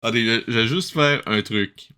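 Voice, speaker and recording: A man's voice: medium pitch, moderate pace, background noise. A man doing casual, amused reaction commentary, rambling and often interrupting himself. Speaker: host reviewing an old cartoon.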